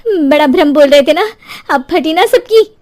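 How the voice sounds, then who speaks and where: Sharp-tongued, excitable young housewife for an audio horror tale female reader, very high, dramatic, scolding. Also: moderate pace. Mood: indignant.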